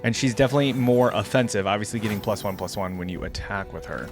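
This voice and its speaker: A man's voice: lowish voice, fast talker, over music. A man giving casual gaming reaction commentary as a host, conversational and full of asides and questions to viewers.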